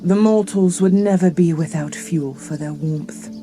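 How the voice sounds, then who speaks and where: A woman as a slow, stately titan matriarch. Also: lowish voice, warm tone, faint music behind, lightly acted. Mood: solemn, explaining. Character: dignified old matriarch of giant earth titans. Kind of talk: fantasy audio drama acting.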